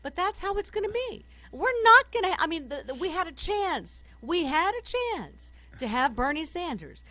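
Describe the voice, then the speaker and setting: A woman with a hoarse voice from a summer cold, co-hosting a political talk radio show from home, ranting animatedly and laughing often as she talks. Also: very high-pitched, big pitch swings, normal speed, bright, forceful tone, dry recording.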